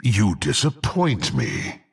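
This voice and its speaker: An actor playing a brutal, power-hungry half-orc warrior in a fantasy game, gruff and haughty, with archaic formal phrasing. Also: male voice, fairly low pitch, huge pitch swings, slow pace, heavily acted. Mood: scornful. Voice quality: gruff, gravelly. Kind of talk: dramatic fantasy game voice acting.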